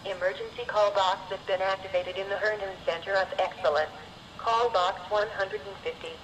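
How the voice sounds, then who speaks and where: A woman's voice: mid-range voice, steady tone, normal speed. A woman reading a scripted alert announcement over a radio. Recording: radio playback in a noisy room.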